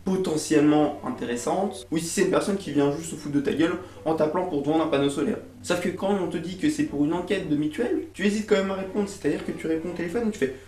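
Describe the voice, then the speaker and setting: A man's voice: on the high side, fast talker, hardly any pauses, warm tone. A young man doing a casual, comic rant to camera as a YouTuber, exasperated and theatrical, with slangy swearing. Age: young adult. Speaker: YouTuber, host of the video. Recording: roomy echo, music underneath.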